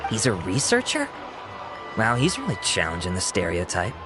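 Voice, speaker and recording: A man's voice: fairly low pitch, wildly swooping pitch, moderate pace, music in the background. An actor playing an astonished man in a crowd, with exaggerated, incredulous delivery, in a video game. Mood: surprised. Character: male townsperson in a crowd, astonished onlooker.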